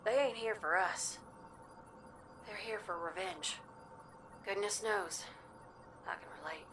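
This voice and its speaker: A woman's voice: mid-range voice, slow pace, recorded from a distance, noisy background, lightly acted. A woman playing a folksy, empathetic, battle-wise scout in a video game, plainspoken and reflective. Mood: somber, knowing. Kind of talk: dramatic video game acting. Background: game music.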